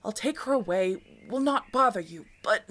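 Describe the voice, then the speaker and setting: A woman's voice: medium pitch, expressive, moderate pace, fairly bright tone. A woman playing a loyal, urgent palace informant in a fantasy audio drama, speaking in an earnest, conspiratorial way. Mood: pleading.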